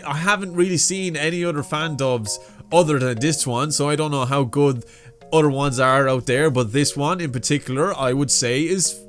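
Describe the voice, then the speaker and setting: A man hosting a reaction video in casual, chatty commentary, repeating himself for emphasis and addressing viewers as dudes. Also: fairly high pitch. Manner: repetitive, emphatic, says "dudes" often.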